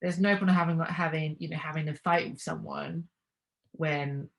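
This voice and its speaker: A young woman with a somewhat low voice, speaking in a relaxed, conversational way. She thinks out loud, restarts her sentences and laughs lightly between thoughts. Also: moderate pace, gravelly. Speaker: interview guest. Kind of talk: casual conversational interview.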